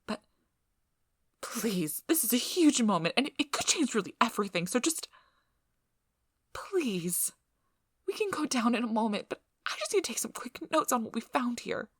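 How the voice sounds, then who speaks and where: A woman playing a nervous, apologetic, injured young field researcher in an ASMR audio roleplay, breathy and hesitant, stammering as she goes. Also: fairly high pitch, very animated, normal speed, pauses often, dry recording, studio quality. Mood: nervous, earnest.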